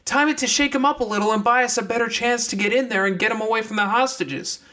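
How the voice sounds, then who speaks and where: A man's voice: very high-pitched, fast, sharp tone, voice pushed up. A man playing a blunt, practical young woman in a fox mask, talking tersely in an audiobook. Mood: rushed, explaining.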